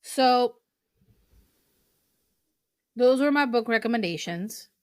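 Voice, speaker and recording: A woman's voice: on the high side, lively intonation, takes it slowly, smooth, dry recording. A young woman from Portugal, hosting her own podcast and talking earnestly about books, with fillers and restarts along the way. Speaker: podcast host. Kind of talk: casual podcast talk recommending books.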